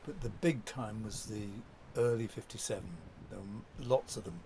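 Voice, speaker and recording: A man's voice: on the low side, normal speed, mellow, a little hiss or hum. Older man telling rambling anecdotes. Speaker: documentary subject. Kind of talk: reminiscing interview.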